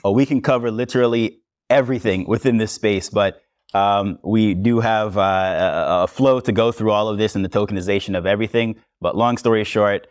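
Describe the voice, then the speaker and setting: A man with a Jamaican accent, a podcast host speaking on a conference panel in a relaxed, conversational way. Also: fairly low pitch, brisk, dry recording. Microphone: lavalier mic.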